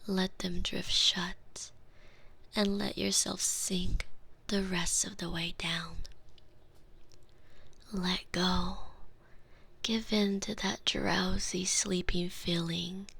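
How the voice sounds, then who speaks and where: A woman whispering slow, commanding hypnosis ASMR with a soft, breathy voice. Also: lowish voice, level tone.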